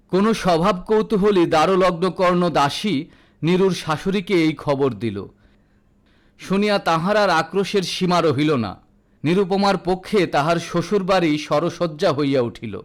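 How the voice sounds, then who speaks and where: A man narrates a literary audio story. His voice sits a little high for a man. The reading is formal and dramatic, in the manner of a storyteller.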